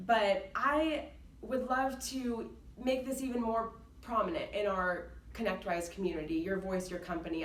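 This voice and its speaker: A woman giving an earnest, enthusiastic unscripted pitch about workplace values, with a loud voice. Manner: enthusiastic, earnest, says she has a loud voice. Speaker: employee applying to a company culture club. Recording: roomy, slightly distant mic with faint background noise.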